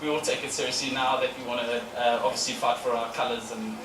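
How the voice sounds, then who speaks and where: A man's voice: medium pitch, quick pace. A man, a paintball player answering interview questions with many fillers. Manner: even, hesitant with fillers. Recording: echoey, far from the mic, with noise.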